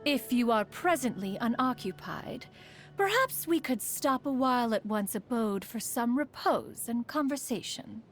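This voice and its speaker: A woman's voice: on the high side, animated, measured pace, a little hiss or hum. A woman playing a proud, aloof immortal adept who secretly cares, speaking in formal, archaic phrases as a video game character. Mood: serene, genteel. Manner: haughty, archaic formal speech, refers to self as "one".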